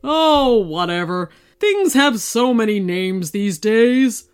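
Woman acting grand old storybook wizard, excitable, friendly, drawn-out delivery, swooping pitch, slow pace. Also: clear, resonant tone, soft background music. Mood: grumbling. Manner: theatrical, slow, drawn-out exclamations. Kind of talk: children's storybook character voice.

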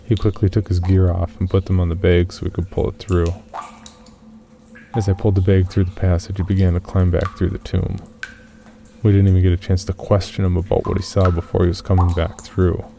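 A man with a gravelly voice reading a first-person horror story in a subdued, measured way. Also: sits low, level tone, warm tone, a little hiss or hum.

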